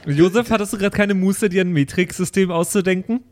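A man's voice: sits high, brisk, a little mellow. A man chatting casually as a player in an improvised pen and paper audio play.

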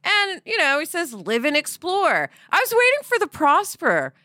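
A middle-aged woman co-hosting a podcast, chatting in a lively way with lots of asides. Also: very high voice, normal speed, no echo, clean studio sound. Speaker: podcast co-host. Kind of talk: casual podcast discussion.

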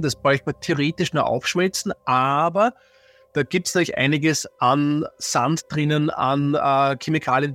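A male expert explaining technical details in an interview, pausing with fillers as he searches for words. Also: on the high side, a bit bright.

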